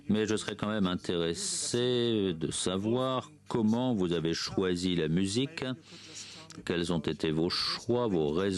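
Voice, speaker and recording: A man interpreting live at a press conference, in measured, halting phrases with self-corrections. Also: low-pitched.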